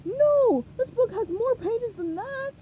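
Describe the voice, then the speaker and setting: A woman's voice: high voice, big pitch swings, measured pace, phone-quality sound, slight background noise, voice pushed up. A woman voicing cranky, indignant seagulls in a storybook reading. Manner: indignant, squawking.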